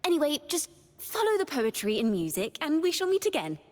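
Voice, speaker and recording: A woman voicing a young, horned wandering bard in a video game, a cheerful yet wistful poet who speaks in lyrical, expressive storytelling with a reverberant tail. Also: sits high, fairly quick. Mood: playful, warm. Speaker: game character voice. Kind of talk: scripted character monologue.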